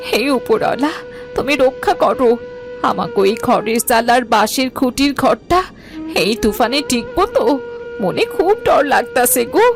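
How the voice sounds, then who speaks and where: A woman's voice: on the high side, expressive, moderate pace, music in the background. A woman playing an anxious, loving village mother in a story, pleading and fretful, with a rural Bangladeshi accent. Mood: fearful, pleading. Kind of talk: dramatised Bengali story acting.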